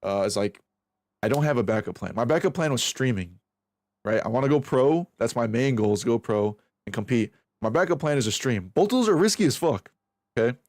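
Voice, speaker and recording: Young male streamer, mid pitch, loose and profane rambling, close dry sound. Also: expressive, a little fast, darkish tone, no room sound. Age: 20s. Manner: rambling, profane, self-reflective, restarts a lot. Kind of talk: casual stream chat while aim training.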